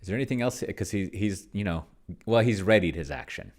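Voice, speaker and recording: Very low-voiced man thinking out loud. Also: expressive, brisk. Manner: hesitant, rambling, thinking out loud. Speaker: solo tabletop game player and video host. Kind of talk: casual live play-along commentary.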